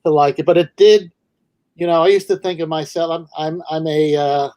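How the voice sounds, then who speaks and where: A man from Manhattan with a New York accent, a guest author talking over a video call in a thoughtful, halting way. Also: on the high side, steady tone, mellow, dry recording. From New York City. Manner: thoughtful, hesitant, many restarts. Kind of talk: casual video call interview.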